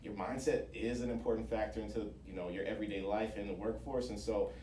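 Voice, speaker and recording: Male interview guest, conversational founder type; dark, soft-edged tone, roomy sound with faint noise. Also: fairly low pitch, steady tone, quick pace, distant mic. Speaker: interview guest, founder of a job-training organisation. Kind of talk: radio interview, explaining programmes.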